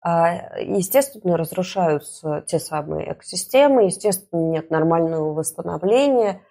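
A woman with a somewhat low, husky voice co-hosts a talk show. She talks through the news casually, with a wry, ironic edge. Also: lively intonation, normal speed, mellow. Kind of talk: news commentary with casual banter. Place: studio.

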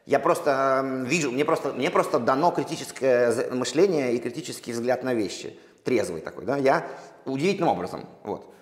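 A man from Russia, a poet, answering interview questions slowly and thoughtfully, with many pauses and restarts. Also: medium pitch, lively intonation. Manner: halting, many fillers and restarts. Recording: echoey room with long reverb.

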